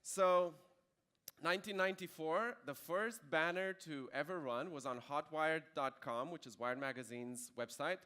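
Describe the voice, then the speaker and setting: A man's voice: fairly high pitch, normal speed, bright, forceful tone. A man in his thirties with a Romanian accent, giving a conversational conference talk with dry asides. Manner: conversational with dry jokes and fillers. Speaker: conference speaker. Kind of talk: keynote presentation.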